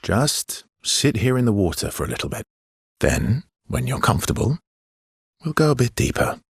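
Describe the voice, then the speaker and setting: An actor playing a brooding, gently teasing, guilt-worn man in a video game, with a husky, gravelly low voice and halting, understated delivery. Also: big pitch swings, dry recording, studio quality, exaggerated voice. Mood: calm, reassuring. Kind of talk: naturalistic video game character dialogue.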